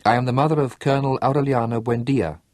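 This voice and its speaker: A man's voice: mid-range voice, steady tone, fast, a little mellow, no echo, studio quality, pitched above the performer's own voice, close to an everyday voice. A man voicing a determined, fearless older mother who stubbornly demands to see her son. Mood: defiant. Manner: firm, defiant delivery.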